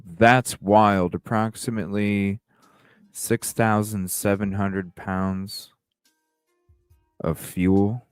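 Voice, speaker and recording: Guy livestreaming, slow low-ish voice, informal and repeats himself, faint music behind him. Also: little pitch movement, smooth, clean studio sound. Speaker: livestream host. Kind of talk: casual live reaction commentary. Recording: roomy, music playing behind. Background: background music.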